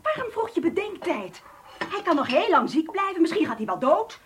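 An actress playing an anxious, ambitious, nagging wife in a radio drama, insistent and fretful. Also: female voice, very high-pitched, big pitch swings, normal speed. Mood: reproachful, insistent. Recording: noisy, clicks and clattering dishes.

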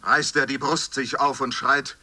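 A man's voice: medium pitch, flat delivery, unhurried, pushed and bright. A man gives a formal, declamatory recitation of literary text.

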